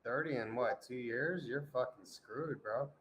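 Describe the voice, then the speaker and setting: A man's voice: mid-range voice, unhurried, a bit bright, a little hiss or hum, poor audio. A man in his forties chatting casually, loose and profane, teasing the others with jokes. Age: 40s. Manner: loose, profane, joking banter. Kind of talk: casual group chat.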